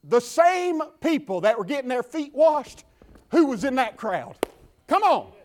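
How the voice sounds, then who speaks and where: A man with a Southern US accent preaching a sermon from the pulpit, building to fervent shouts and dropping to quiet talk. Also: extremely high pitch, big pitch swings, a little slow. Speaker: pastor. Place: church.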